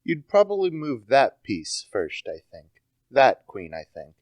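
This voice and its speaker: A teenage male actor playing a coolly clever, detached gentleman in a radio play, offhand and understated. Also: mid-range voice, wildly swooping pitch, unhurried, no echo. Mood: offhand, sure.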